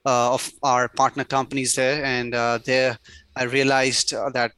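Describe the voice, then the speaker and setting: Bright, flat-toned man, halting answers. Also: mid-range voice, clean tone, no echo. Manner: hesitant, many "uh" fillers. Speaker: startup CEO, guest. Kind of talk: interview answers.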